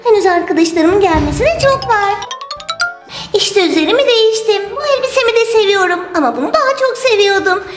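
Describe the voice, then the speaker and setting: Very high-pitched little doll girl. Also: animated, fairly quick. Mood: cheerful. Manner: singsong, exclamatory, affectionate. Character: cheerful little doll girl hosting her friends for tea. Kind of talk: children's toy play storytelling. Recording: roomy sound with music behind. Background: music.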